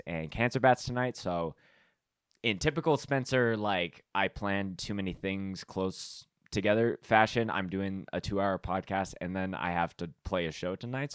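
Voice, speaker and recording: Male podcast co-host, informal and chatty, slightly low register, dry close sound. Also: smooth, no room sound. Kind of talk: casual podcast chat.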